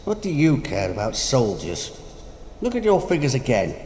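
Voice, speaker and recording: Brief: Death personified for audio drama, ageing, pompous, teasing, very animated delivery, in an echoey hall. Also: male voice, medium pitch, normal speed. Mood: sly, mocking. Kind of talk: theatrical audio drama acting. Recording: noisy, echoey hall with long reverb.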